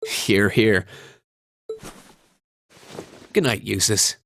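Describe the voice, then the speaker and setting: An actor playing an earnest, modest young student in a video game, mild and plain-spoken. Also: male voice, on the low side, drawn-out words, creaky, pushed and bright, a little hiss or hum, full character voice. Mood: friendly, sensible. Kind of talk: English video game voice acting.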